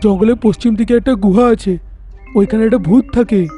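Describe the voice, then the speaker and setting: A man's voice: high voice, fairly even intonation, a little fast, warm tone, soft background music, close to an everyday voice. A man voicing earnest, declamatory talking jungle animals in a cartoon moral fable. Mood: challenging. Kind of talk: cartoon dialogue acting.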